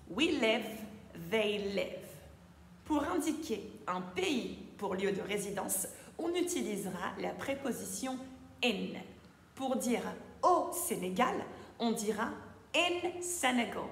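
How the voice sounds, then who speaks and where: A woman teaching English in French, with a clear, careful delivery that slows down to model each English word. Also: on the high side, a little hiss or hum. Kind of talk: scripted lesson narration. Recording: echoey room with long reverb, faint ambience.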